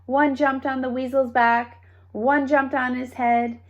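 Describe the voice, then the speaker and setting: A woman reads a picture book aloud to children. Her voice sits a little high and she takes her time, telling it expressively and adding playful asides for her young listeners. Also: level tone, a little slow, dull audio. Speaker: storybook reader. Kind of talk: children's read-aloud storytelling.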